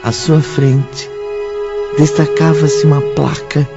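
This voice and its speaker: A man's voice: a little high, flat delivery, takes it slowly, vocal fry. A Brazilian man narrating a scripted spiritual radio drama in a solemn manner. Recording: dull audio under prominent music.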